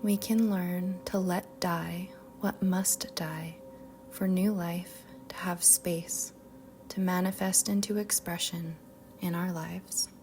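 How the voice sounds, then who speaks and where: A woman guiding a meditation in a soft, whispery-edged voice, speaking gently and soothingly with long pauses. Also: on the low side, fairly even intonation, slight background noise. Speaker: yoga nidra meditation guide. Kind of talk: guided meditation narration. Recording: soft music bed behind voice. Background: music.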